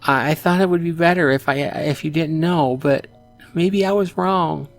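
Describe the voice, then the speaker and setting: A man voicing a worried, guilt-ridden middle-aged mother in a visual novel, speaking haltingly and gently. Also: on the high side, faint music behind, voice pushed up, lightly acted. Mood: worried, hesitant. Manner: halting, gentle, anguished delivery.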